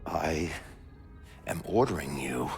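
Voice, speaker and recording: Warship commander: stubborn, condescending, lively pitch, game cutscene with faint music. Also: male voice, takes it slowly, vocal fry, big-room sound, full character voice. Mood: angry command. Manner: authoritative, clipped, condescending. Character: stern, stubborn male warship captain, commanding, dismissive. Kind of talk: video game cutscene acting.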